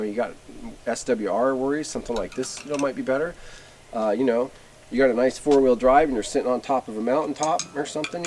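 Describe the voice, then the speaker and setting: A middle-aged American man talks through a casual product review at a medium pitch. His delivery is folksy and unscripted, with halting phrasing. The recording carries background noise, picked up by a camera standing a short way off. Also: darkish tone, rough recording. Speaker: video host reviewing radio gear. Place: in front of a closed garage door.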